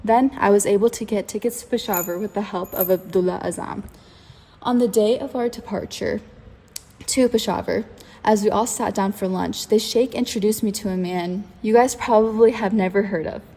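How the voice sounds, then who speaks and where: A woman's voice: medium pitch, fairly even intonation, normal speed, warm tone, lightly acted. A woman narrating in first person as a young man looking back on his life, earnest and read from a script. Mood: reflective. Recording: long reverb.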